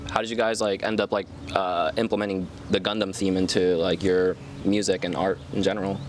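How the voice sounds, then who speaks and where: Fast-talking low-voiced male interviewer. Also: flat delivery. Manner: rambling, filler-heavy, enthusiastic. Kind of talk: casual, slangy interview chat. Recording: faint vehicle noise behind.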